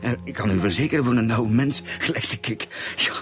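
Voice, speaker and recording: An actor playing an excitable, folksy old man in a radio drama, with a Flemish accent and a rambling, emphatic way of talking. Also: medium pitch, fairly quick, loud music behind the voice, close to an everyday voice. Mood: agitated. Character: excitable folksy old man, a witness walking his dog.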